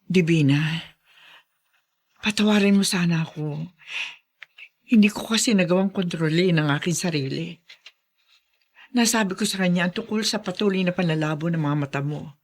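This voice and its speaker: An actress playing a well-meaning, concerned friend, speaking earnestly and emotionally in a Filipino radio drama. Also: female voice, fairly low pitch, normal speed, long pauses. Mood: guilty, earnest.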